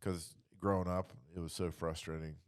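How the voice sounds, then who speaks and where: A man's voice: low-pitched, little pitch movement, normal speed, clear, resonant tone. A middle-aged man with an American accent chatting casually and posing questions as a podcast co-host. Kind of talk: casual group chat.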